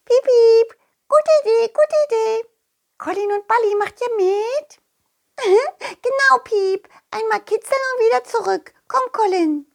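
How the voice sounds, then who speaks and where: A woman voicing tiny, excitable, playful mice with a thin, squeaky voice that peeps between phrases in a children's audio play. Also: unhurried, creaky, full character voice. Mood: eager. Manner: peppers talk with peeping noises.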